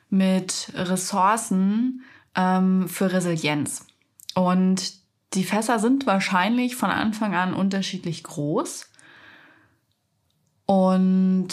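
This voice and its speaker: A young woman talks through a reflective podcast monologue at an unhurried, slow pace. Her voice sits in a middle pitch and sounds a little stuffy and nasal from a cold. She pauses often, gathering her thoughts with soft fillers. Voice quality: slightly nasal. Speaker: podcast host.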